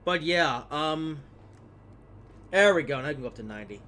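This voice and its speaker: A man's voice: on the high side, animated, moderate pace, bright, forceful tone. A young man with a slightly stuffed-up voice from a cold, casually commenting on his gameplay with many fillers and trailing asides. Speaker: gaming video host.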